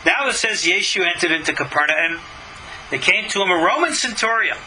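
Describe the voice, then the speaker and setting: Male religious teacher, mature, high pitch, very sharp bright tone; emphatic, skeptical text commentary over clear background noise. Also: animated, brisk, low-quality recording. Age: middle-aged or older. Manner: emphatic, skeptical, argumentative, with rhetorical questions. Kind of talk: religious lesson, reading a text aloud with running commentary.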